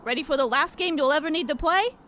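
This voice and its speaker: A woman's voice: sits high, fast, a bit bright. A woman voicing a greedy, melodramatic, condescending girlfriend of a boxer in a comic old-time radio play. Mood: exasperated.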